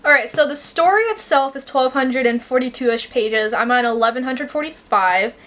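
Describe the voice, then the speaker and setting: High bright chatty young woman vlogger. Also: lively intonation. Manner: chatty, candid, swears casually, quick asides. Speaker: book vlogger. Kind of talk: casual vlog chat to camera. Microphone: camera mic.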